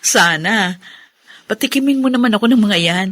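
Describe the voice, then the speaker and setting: A woman playing a playful, affectionate older sister in a Filipino radio drama, teasing and expressive. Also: medium pitch, moderate pace. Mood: warm, curious.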